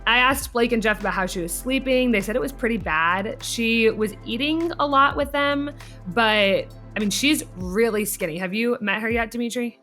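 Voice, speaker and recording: A woman's voice: a little high, moderate pace, sharp tone, soft background music. A woman talking casually as a clinician, giving informal, loosely worded explanations of an assessment. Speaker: behavior clinician reporting an assessment. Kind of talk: casual conversational case discussion.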